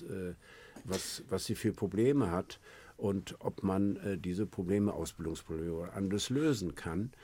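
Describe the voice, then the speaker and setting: A man's voice: low-pitched, dark tone, breathy edge. A man speaking as an expert guest on a radio panel, earnest and hesitant with frequent restarts.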